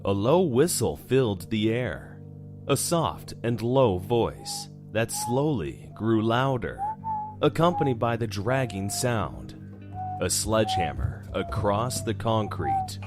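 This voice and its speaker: A man's voice: fairly low pitch, huge pitch swings, slow pace. A man narrating a horror audio drama from a script. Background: music.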